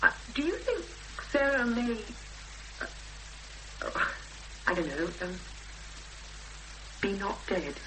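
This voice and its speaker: A woman playing an anxious but determined young woman in a 1970s radio drama, speaking hesitantly with thoughtful pauses. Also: medium pitch, very animated, sharp tone, heavily acted. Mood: uneasy, insistent. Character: anxious, determined young woman seeking her missing sister. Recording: noisy, hissy 1970s radio broadcast recording.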